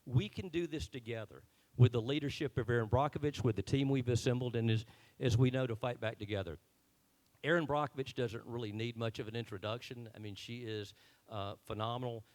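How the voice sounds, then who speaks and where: A man's voice: medium pitch, fairly quick, a little mellow. Man, earnest, slightly awkward, town hall introduction. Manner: earnest, a bit unpolished on stage. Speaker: event organizer introducing the speaker. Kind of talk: speech introducing a guest. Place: meeting hall.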